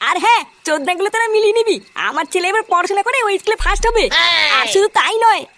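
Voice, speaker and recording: A man voicing a brash, boastful, foul-mouthed cartoon father through a pitched-up filter. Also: expressive, very fast, exaggerated voice. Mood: boastful, angry. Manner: scolding, bragging, crude slang. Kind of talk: comic cartoon dubbing. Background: loud music.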